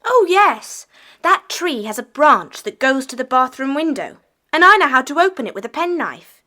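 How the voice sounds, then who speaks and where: A woman's voice: high-pitched, big pitch swings, moderate pace, pushed and bright, heavily acted. A woman playing an earnest, affectionate runaway schoolgirl in an audiobook dramatization. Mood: happy, eager.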